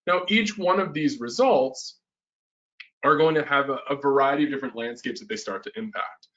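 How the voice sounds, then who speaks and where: A man's voice: mid-range voice, normal speed, a bit bright. A man presenting a business webinar in a casual way, full of "uh" fillers, restarts and a tag "right?". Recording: slightly dull webinar audio.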